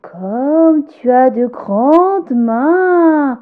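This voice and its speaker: A woman voicing a sweet, obedient little girl in a storybook tale, high and eager. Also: animated, extremely slow, phone-quality sound, full character voice. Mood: wondering. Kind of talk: storybook character voice.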